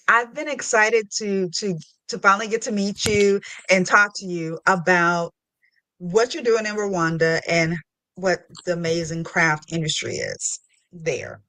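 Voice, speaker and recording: A woman's voice: medium pitch, pushed and bright, no echo, low-quality recording. Female podcast host, mid-range voice, casual and playful interviewer, very dry recording.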